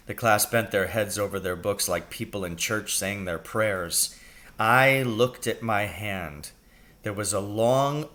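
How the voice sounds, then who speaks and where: Bright-voiced man narrating a storybook. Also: fairly low pitch. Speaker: reader of a children's novel.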